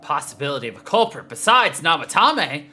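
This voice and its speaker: A woman's voice: low voice, big pitch swings, brisk, bright, forceful tone, slight background noise. A woman streaming a game playthrough, reading along in a playful, mock-dramatic, theatrical way.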